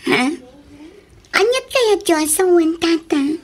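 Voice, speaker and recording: A woman's voice: very high voice, animated, slow, background noise, heavily acted. A woman playing a coy, hesitant young tenant who humours her older landlord in a comedic radio drama. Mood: surprised, conspiratorial.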